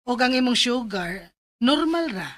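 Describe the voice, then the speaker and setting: An actress with a Cebuano accent playing a calm female doctor who explains and advises in a Bisaya radio drama. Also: on the high side, a little slow. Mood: calm, advising.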